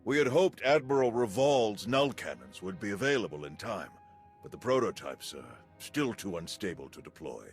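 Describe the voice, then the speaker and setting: An actor playing a commanding military general in a video game, authoritative and formal. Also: male voice, lowish voice, big pitch swings, normal speed, faint music behind. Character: commanding, hospitable army general.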